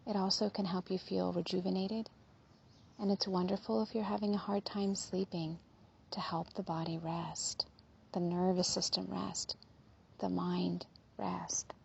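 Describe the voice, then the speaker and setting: A woman leading a guided body scan meditation in a gentle, soothing, unhurried manner, with a soft, breathy, slightly husky voice. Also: fairly low pitch, little pitch movement, muffled recording, slight background noise.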